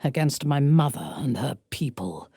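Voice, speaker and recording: A ruthless dark elf noblewoman from a fantasy video game. Her low voice moves slowly and deliberately, swinging widely in pitch. She is imperious and sardonic, with formal diction and cool menace. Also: big pitch swings, gravelly, husky, no room sound, clean studio sound, heavily acted. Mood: resolute, grave. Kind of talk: video game character voice acting.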